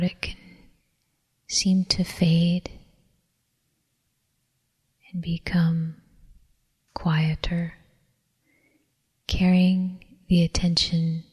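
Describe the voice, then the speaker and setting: A woman leading a guided meditation in a soft, whispery, breathy voice, calm and soothing, with long unhurried pauses. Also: lowish voice. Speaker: meditation teacher. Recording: long reverberant hall echo.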